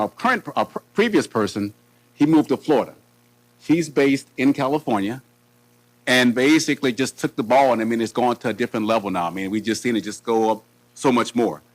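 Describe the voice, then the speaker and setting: A man's voice: mid-range voice, rough recording. A man, a school district leader, introducing a presentation in loose, rambling remarks at a board meeting. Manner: loose and rambling, many fillers and restarts. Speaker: school superintendent. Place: meeting room.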